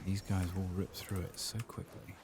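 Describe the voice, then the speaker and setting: A man speaking softly and often whispering in ASMR style as he comments on a game he plays, close to the microphone. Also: low-pitched, completely flat delivery, gravelly, noisy background. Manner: hushed, reads quest text aloud slowly. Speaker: ASMR gaming streamer narrating a playthrough.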